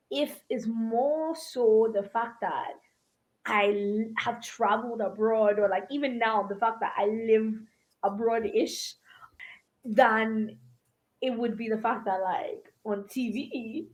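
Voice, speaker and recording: A woman from Ghana with an American accent and a slightly high voice. She chats casually on a podcast in an animated way, laughing easily and scattering "like" through her sentences. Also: takes it slowly, rough recording. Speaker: podcast cohost and music maker.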